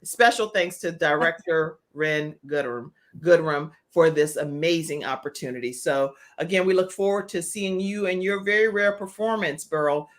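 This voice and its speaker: A woman's voice: deep voice, moderate pace, hardly any pauses. An American woman hosting a live online talk show, chatting in an effusive, encouraging way and addressing viewers by name.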